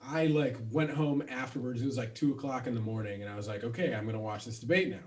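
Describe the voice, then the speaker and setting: A man co-hosting a podcast, telling a relaxed anecdote in casual chat. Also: mid-range voice, fast, distant mic, slight background noise.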